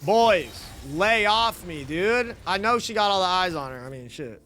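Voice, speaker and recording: High-pitched, animated male streamer. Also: a little slow, a little hiss or hum. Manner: slangy, playful, profane, hype reactions. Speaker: game streamer. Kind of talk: casual live gameplay commentary.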